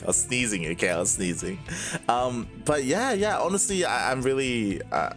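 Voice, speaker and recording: A man with a light Australian accent calls a video game match live through a headset, his pitch jumping around as he chats. He laughs easily and sounds short on sleep. Faint music plays under him. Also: moderate pace. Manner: chatty, laughs often, excitable. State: tired from staying up overnight. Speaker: esports caster.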